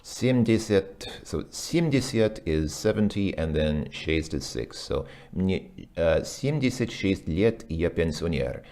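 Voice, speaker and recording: A man working through a language lesson out loud, hesitant, with many ums and self-corrections. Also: low voice, very animated, slow pace, few pauses. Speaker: language learner reviewing a lesson. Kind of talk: casual thinking-aloud commentary.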